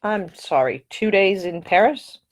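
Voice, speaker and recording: A woman's voice: medium pitch, lively intonation, a little slow, pitched above the performer's own voice, close to an everyday voice. A woman voicing a miserable, scowling young English nobleman in an audiobook, with a curt, grumpy and formal manner. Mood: weary, terse.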